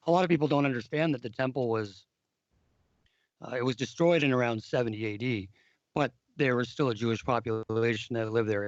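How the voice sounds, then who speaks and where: A man hosting a casual talk show, hoarse from losing his voice, who often repeats words and restarts sentences. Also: medium pitch, no echo, lo-fi sound. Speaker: podcast host. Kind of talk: casual discussion of biblical calendar history.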